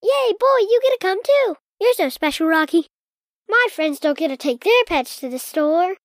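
Storybook boy: woman doing an earnest, proud little kid, high and dry-miked. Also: moderate pace, dry recording. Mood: excited. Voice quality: high, childlike. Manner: earnest, excited. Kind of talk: storybook reading.

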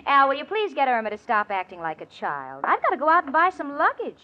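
A sensible young American woman, high-voiced and very animated, brisk and sarcastic with her foolish roommate. It is a muffled, noisy 1940s radio comedy recording.

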